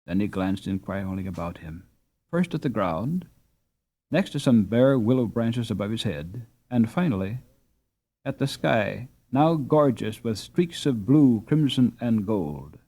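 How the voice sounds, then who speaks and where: A man reading a story aloud as an audiobook narrator, in an expressive, dramatic storytelling manner. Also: lowish voice, measured pace.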